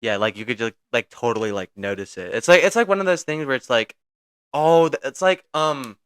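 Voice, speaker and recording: A young man co-hosting a casual podcast chat, excitable and full of "like". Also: mid-range voice, fairly quick, clear, resonant tone, no room sound, studio quality. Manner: filler-heavy, excitable. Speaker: podcast co-host.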